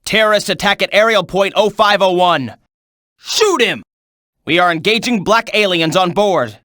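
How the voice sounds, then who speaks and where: An actor voicing a military soldier in a video game, giving urgent, clipped combat reports and orders. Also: male voice, sits high, moderate pace, sharp tone, dry recording, clean studio sound. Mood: urgent, commanding. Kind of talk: video game battle voice clips.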